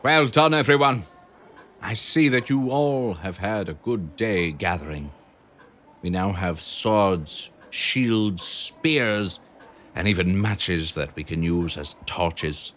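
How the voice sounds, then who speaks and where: A man voicing a brave male hamster who speaks in an earnest, calm and firm way. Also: sits low, takes it slowly, a little hiss or hum. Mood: rallying.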